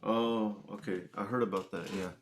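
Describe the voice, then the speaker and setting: A man's voice: lowish voice, fairly even intonation, moderate pace, slight background noise. A man who works as a musician, chatting casually as an interview guest. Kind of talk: casual chat.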